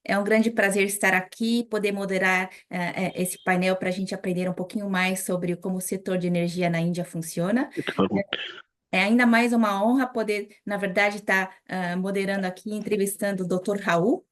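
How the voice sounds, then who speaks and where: A woman with a Brazilian accent moderating a panel interview in a friendly way, often pausing with small fillers. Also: medium pitch, fairly even intonation. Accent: Brazilian Portuguese.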